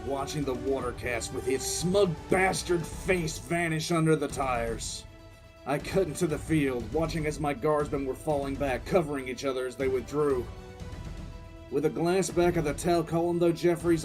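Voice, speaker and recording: A man's voice: a little high, a little mellow, close to an everyday voice. Man playing an intense war-hardened commissar, music behind. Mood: grimly gleeful, then grim, satisfied. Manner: intense, theatrical, drawn-out emphatic delivery. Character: battle-hardened male military commissar recounting a bloody ambush with relish. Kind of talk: dramatic first-person audio drama narration.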